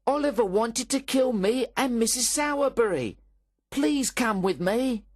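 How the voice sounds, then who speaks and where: A man's voice: sing-song, takes it slowly, dry recording, clean studio sound, exaggerated voice. A man voicing a big, jealous, bullying teenage boy in a storybook reading, bossy and whiny. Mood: panicked. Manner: whiny, bossy, shrill when scared.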